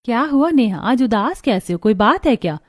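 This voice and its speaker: A woman's voice: on the high side, lively intonation, moderate pace, no room sound, studio quality. A woman playing an older, practical and bossy household employer, giving instructions matter-of-factly in a moral story. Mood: concerned, offering. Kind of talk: dramatized Hindi moral story acting.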